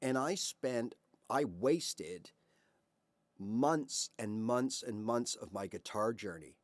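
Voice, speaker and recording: An older man talks through a guitar lesson in a friendly, conversational way, his pitch rising and falling a lot as he poses questions to the viewer. The sound is dry and close, with almost no room echo around him. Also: expressive, slow. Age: 50s or older. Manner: chatty, encouraging, rhetorical questions, frequent "all right" and "right?". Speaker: guitar teacher. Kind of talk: casual video lesson to camera.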